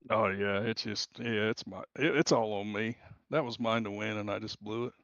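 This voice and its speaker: A man giving a casual post-race interview as a racing driver over remote voice chat, plain-spoken, halting and hard on himself. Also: on the low side, fairly even intonation, a bit bright. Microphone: remote voice chat into the broadcast. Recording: dull, roomy voice-chat connection.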